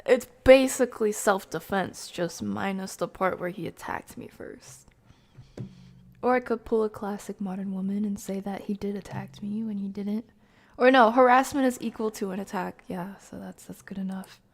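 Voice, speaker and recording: A woman's voice: medium pitch, fairly even intonation, moderate pace. A woman doing casual live game commentary as a streamer, jokey and slangy, with mild exclamations in place of swearing.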